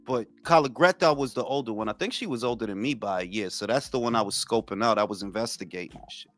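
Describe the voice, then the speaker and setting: A man in his forties from New York, co-hosting a casual talk show and telling loud, profane stories. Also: lowish voice, fast, no echo, soft background music. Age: 40s. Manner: animated, profane storytelling. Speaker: podcast co-host. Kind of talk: casual chat.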